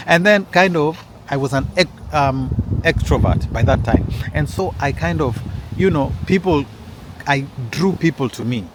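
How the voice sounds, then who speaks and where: A man's voice: on the high side, expressive, a little slow, heavy background noise. A man telling a personal story in a casual, rambling way, with frequent restarts and asides to his listeners. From Kenya.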